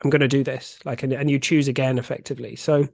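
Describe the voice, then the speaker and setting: A man's voice: medium pitch, flat delivery, quick pace, vocal fry, no room sound, clean studio sound. A man hosting a podcast, asking thoughtful, searching questions. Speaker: podcast host. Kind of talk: interview questions.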